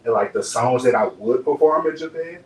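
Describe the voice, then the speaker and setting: A man's voice: mid-range voice, animated, a little fast. Laid-back young man from Dallas. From Dallas, Texas. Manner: laid-back, slangy, rambling with filler phrases. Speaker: rapper, podcast guest. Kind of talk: relaxed interview chat. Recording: echoey room, mic far off, faint hum.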